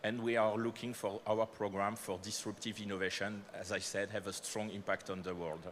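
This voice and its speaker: Man, French accent, lowish voice, conference talk, hesitant with fillers, faint room ambience. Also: level tone, moderate pace, a bit bright, slight background noise. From France. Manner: hesitant, many fillers and self-corrections. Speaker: corporate speaker presenting startup programs. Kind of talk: conference presentation. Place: conference hall.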